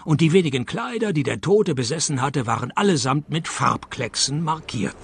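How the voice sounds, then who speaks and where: Gravelly, wry private detective. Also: male voice, a little high, lively intonation, moderate pace, a little hiss or hum. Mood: dry narrating. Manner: dry, sardonic. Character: wry, cynical male private detective narrating his case. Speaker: lead actor and narrator. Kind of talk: radio play narration and dialogue.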